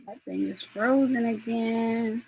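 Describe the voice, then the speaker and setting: A middle-aged woman hosting a live online stream, chatty and affectionate, with quick asides. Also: a little high, steady tone, vocal fry, a little mellow, slight background noise, poor audio. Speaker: host and soul mentor.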